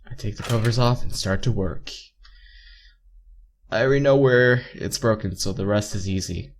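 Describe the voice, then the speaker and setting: A man's voice: lowish voice, normal speed, a little hiss or hum. A man reading visual novel text aloud as a let's player, stumbling over lines and repeating words, with joking asides. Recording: game music behind voice.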